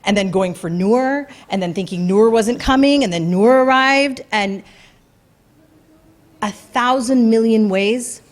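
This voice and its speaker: A woman's voice: fairly high pitch, a little slow. A woman giving an earnest, conversational talk about her own experience at a community event, with fillers and restarts. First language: English. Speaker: speaker at a community talk night. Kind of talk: personal story talk. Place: event venue before an audience.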